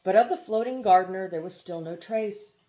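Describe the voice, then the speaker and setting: A woman reading a novel aloud as expressive storybook narration, with a casual touch. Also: mid-range voice, brisk. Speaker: audiobook reader.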